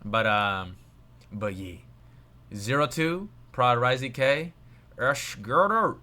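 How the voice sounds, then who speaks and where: Bright-voiced young man reviewing music. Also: medium pitch, animated, slow, slight background noise. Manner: rambling, many fillers, trails off quietly. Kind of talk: casual review chat on a livestream.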